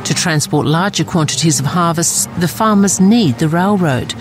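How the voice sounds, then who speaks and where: A woman reading scripted documentary narration in a measured, articulate way. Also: on the low side. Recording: studio voice-over with music.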